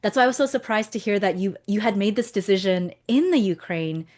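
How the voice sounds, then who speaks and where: A woman's voice: mid-range voice, lively intonation, brisk, darkish tone. A woman from Canada, hosting an interview and asking thoughtful follow-up questions. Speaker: host.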